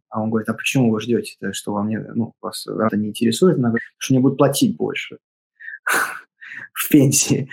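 Male interview guest from Latvia; husky, halting, thoughtful. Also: mid-range voice, poor audio. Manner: halting, many fillers and restarts. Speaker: interview guest, project author. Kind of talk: reflective interview answers.

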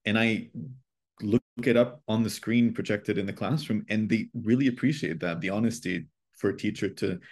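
A young man talking in a relaxed, reflective way as a podcast guest, pausing to think as he explains his views on teaching. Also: on the low side. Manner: reflective, thoughtful, speaks with pauses. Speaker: podcast guest, language educator. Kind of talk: casual conversation.

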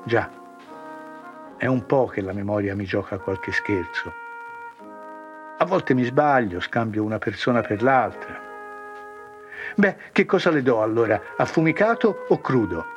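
A man voicing a cheerful, confused older ex-grocer who talks with brisk shopkeeper banter. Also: on the low side, expressive, highs cut off, music in the background. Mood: bewildered.

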